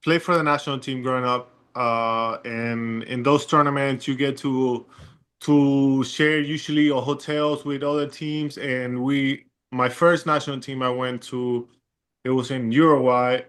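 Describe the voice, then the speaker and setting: A young man from Chile with a Chilean Spanish accent, a guest in a casual interview, talking in a relaxed, rambling way with many fillers. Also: medium pitch, measured pace. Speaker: guest, pro basketball player.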